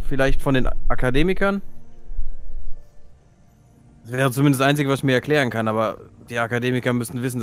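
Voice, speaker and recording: A man talking casually as a player in a tabletop role-playing session. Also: medium pitch.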